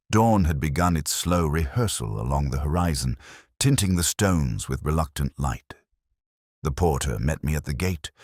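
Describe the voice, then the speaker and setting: A man voicing a weary middle-aged Oxford detective, narrating in first person in a measured, reflective way. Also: a bass voice, slightly rough, dry recording, clean studio sound, heavily acted. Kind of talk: scripted audio drama narration.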